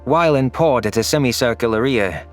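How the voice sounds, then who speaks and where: A man's voice: medium pitch, normal speed. Man narrating science fiction over faint music. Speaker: audio story narrator. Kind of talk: scripted first-person science fiction narration. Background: faint background music.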